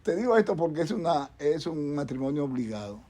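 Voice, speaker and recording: Mellow-voiced Dominican doctor, rambling. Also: male voice, a little high, big pitch swings, a little fast, a little hiss or hum. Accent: Dominican Spanish. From Dominican Republic. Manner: rambling, with frequent restarts and repeated words. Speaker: guest doctor. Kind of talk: casual chat on a talk show panel.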